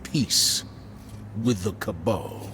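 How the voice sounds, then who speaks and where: Low-voiced, stern military commander. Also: male voice, fairly bright tone, a little hiss or hum. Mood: grave. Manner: grave, formal, measured. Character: stern, principled male military commander, grave and weary. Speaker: commander giving briefings. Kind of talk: dramatic game acting. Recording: cinematic music underneath.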